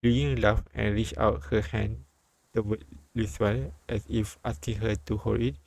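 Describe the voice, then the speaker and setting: Male streamer reading a story live: low register, gravelly fry, stumbling delivery, dry close sound. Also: steady tone, darkish tone, no room sound, low-quality recording. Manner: halting, repeats words while reading. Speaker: streamer reading a visual novel aloud. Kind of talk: live read-aloud with translating and commentary.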